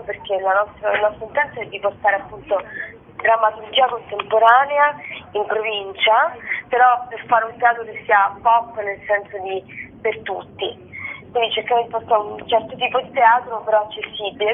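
Woman on a tinny, noisy phone line. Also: on the high side. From Valle di Comino, southern Lazio, Italy. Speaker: festival co-director, interview guest. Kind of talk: spontaneous radio interview. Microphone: telephone.

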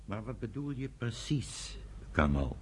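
A man's voice: fairly low pitch, big pitch swings, unhurried, a little mellow, a little hiss or hum. An actor playing a thoughtful, hesitant pioneer settler in his fifties in a radio drama. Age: 50s.

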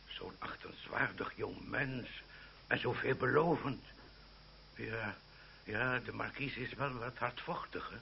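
A man's voice: lowish voice, gravelly. A man acting in an old radio play as a blustering older uncle, easily indignant, stammering when flustered. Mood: regretful, mild. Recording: muffled, slightly noisy old radio recording.

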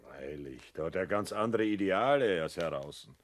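An actor playing an opinionated uncle, hearty about military ideals, in a radio drama. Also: male voice, low-pitched, animated, normal speed. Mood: self-assured. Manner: opinionated, instructive. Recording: slightly noisy old radio recording.

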